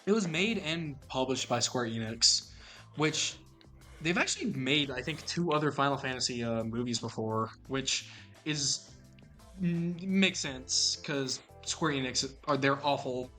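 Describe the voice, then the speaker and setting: A man co-hosting a casual podcast, his voice mid-pitched and lively as he jokes and rambles through stories. Faint music plays underneath. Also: measured pace. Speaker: podcast co-host.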